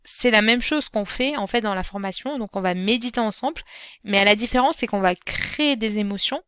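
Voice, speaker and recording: A woman hosting her own podcast as a Quran teacher. She speaks gently and encouragingly, addressing the listener directly, with frequent fillers and restarts. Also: medium pitch, a little fast, smooth, dry recording, studio quality. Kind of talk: solo podcast talk.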